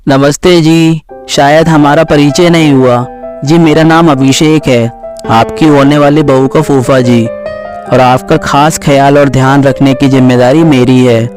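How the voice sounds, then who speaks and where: A man's voice: mid-range voice, steady tone, measured pace, over music, close to an everyday voice. A man voicing an obliging, easygoing young husband in a cartoon family story, polite and placating. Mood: courteous.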